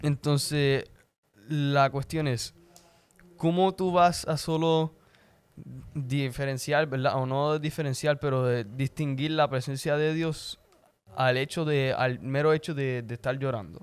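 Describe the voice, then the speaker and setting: A man who is a native English speaker, talking casually about faith as a podcast co-host, often pausing to find words and slipping into English. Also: medium pitch, steady tone, clear, resonant tone. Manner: halting, searches for words, slips into English. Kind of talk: casual religious discussion.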